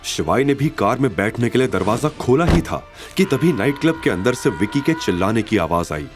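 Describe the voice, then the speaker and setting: A man's voice: mid-range voice, very animated, moderate pace, soft background music. A man delivering scripted, dramatic narration for an audio story series.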